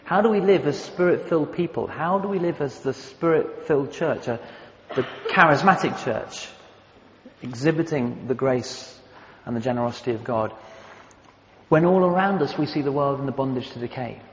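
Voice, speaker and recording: A pastor gives a sermon in a relaxed, conversational way, often stopping to find the next word. The sound is a little dull and lo-fi, with a long hall reverb around his voice. A faint background hiss stays under it. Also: mid-range voice, moderate pace. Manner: conversational, thoughtful, searching for words.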